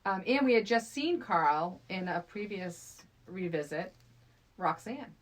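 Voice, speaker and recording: A woman's voice: fairly low pitch, expressive, slow pace, noisy background. Middle-aged woman in casual podcast chat. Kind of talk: casual film discussion.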